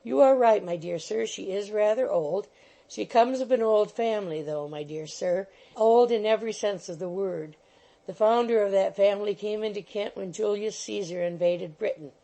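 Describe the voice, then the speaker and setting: Vrouw die een kleine, bedrijvige advocaat speelt, vleiend. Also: female voice, mid-range voice, moderate pace, creaky, mellow, natural voice, rough recording. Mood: wheedling. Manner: coaxing, repeats "my dear sir". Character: fussy, bustling little male attorney, ingratiating.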